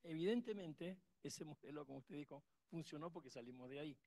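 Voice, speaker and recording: A man's voice: on the high side, fairly quick, mellow. A man with an Argentine accent, speaking up from the audience at a conference, emphatic and rambling with many restarts. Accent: Argentine Spanish. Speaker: conference attendee asking a question. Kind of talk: impassioned audience remark.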